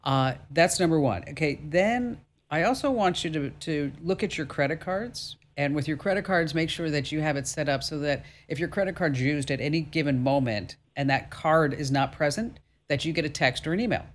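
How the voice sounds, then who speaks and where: A woman's voice: deep voice, normal speed. A woman hosting a call-in radio show, giving tech advice in a chatty, upbeat and reassuring way with many fillers.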